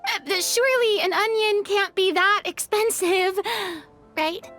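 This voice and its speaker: High-pitched theatrical young game heroine. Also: female voice, slow, a bit bright, exaggerated voice. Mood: earnest, unsure. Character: theatrical, earnest young woman playing a tabletop role-playing game. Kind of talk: English-dubbed anime game acting. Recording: music behind the voice.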